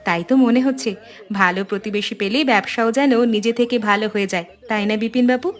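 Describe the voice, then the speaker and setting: A woman playing a kind, gently coy tea-stall owner in an animated family drama. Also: medium pitch, a little fast, lightly acted. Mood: friendly, supportive. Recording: music behind.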